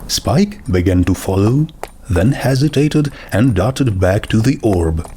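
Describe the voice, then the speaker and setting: Unhurried male storyteller with expressive pitch. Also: slight background noise. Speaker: fan fiction narrator. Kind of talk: scripted story narration.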